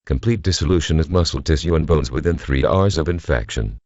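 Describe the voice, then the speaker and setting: A man playing a grave government announcer, reading a scripted emergency bulletin in a formal, measured, solemn manner. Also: rumbling low, expressive, darkish tone, no room sound, muffled recording. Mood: grave, official. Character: grave male government civil defense announcer.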